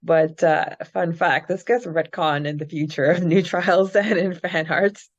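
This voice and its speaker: A woman with a fairly low voice chats casually as a podcast co-host, recapping a story. Her delivery is giggly and informal. The recording is a little dull and lo-fi. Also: fairly even intonation, unhurried. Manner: giggly, chatty. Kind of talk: casual book-club style recap chat.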